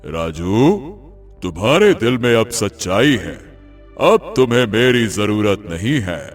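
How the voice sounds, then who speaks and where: A wise, benevolent talking tree from a cartoon fable, acted by a man. The voice is low and extremely unhurried, with a solemn, grandfatherly manner. Music plays underneath. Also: very animated. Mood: kindly, approving. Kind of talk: animated moral story dubbing.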